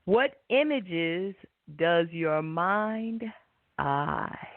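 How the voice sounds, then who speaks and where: Female spiritual host, reflective and very unhurried, narrow telephone-quality audio. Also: lowish voice, expressive, no echo. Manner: unhurried, reflective, often seeking agreement. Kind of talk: guided meditation and spiritual pep talk. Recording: tinny narrow phone-like line.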